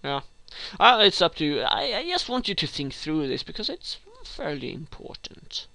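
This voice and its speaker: A man's voice: fairly high pitch, sing-song, normal speed, vocal fry, sharp tone, no echo. A young man from Sweden with a Swedish accent, hosting a rambling game tutorial with many hesitations and restarts. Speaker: gaming tutorial host.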